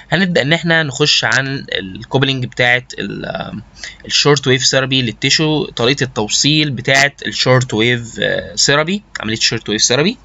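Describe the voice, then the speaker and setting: A man with an Egyptian Arabic accent giving an informal slide lecture as a lecturer, mixing in English technical terms and haltingly repeating words as he searches for terms. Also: medium pitch, sharp tone. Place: voice-over recorded over slides. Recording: dull, lo-fi voice recording.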